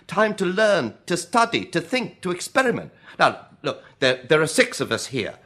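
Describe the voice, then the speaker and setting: A practical, argumentative survivor in a radio drama. His bright, forceful voice swings widely as he presses his case with persuasive emphasis. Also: fairly high pitch, very animated, moderate pace, full character voice. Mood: urgent, lecturing.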